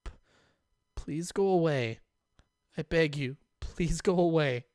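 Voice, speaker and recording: A reader voicing a frightened teenage boy in an audiobook who begs and shouts desperately. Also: mid-range voice, slow, dry recording, studio quality, pitched above the performer's own voice, close to an everyday voice. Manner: pleading, then shouting.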